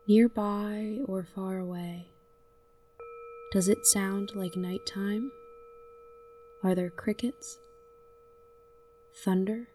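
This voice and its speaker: A woman narrating a scripted guided meditation in a gentle, soothing manner, leaving long pauses between short phrases. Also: medium pitch, far from the mic, slight background noise.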